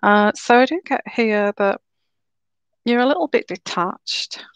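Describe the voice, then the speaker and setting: A woman giving a live tarot reading in a slow, soothing, reassuring way, her throat a little sore and croaky. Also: fairly high pitch, no room sound. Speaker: tarot reader.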